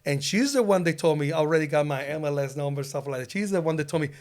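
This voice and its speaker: A middle-aged man with a Puerto Rican accent and Spanish as his first language chats as a podcast guest. His voice sits a little high for a man. He tells things in a loose, rambling way, padded with filler phrases. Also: animated, fast talker, a little mellow. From Puerto Rico. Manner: rambling, peppers talk with "you know". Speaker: podcast guest, loan officer. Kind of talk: casual conversational storytelling.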